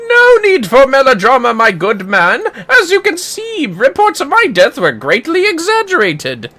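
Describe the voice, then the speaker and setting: A streamer voicing a pompous, excitable male gentleman inspector from a video game, speaking in grand theatrical exclamations. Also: high-pitched, moderate pace, voice pushed up, exaggerated voice. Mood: grand, self-assured. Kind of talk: playful video game character voice.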